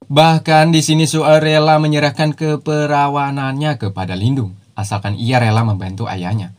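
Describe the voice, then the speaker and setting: A man's voice: on the low side, a little mellow. A man narrating a cartoon series recap in a casual, slangy voice-over, dropping teasing jokey asides.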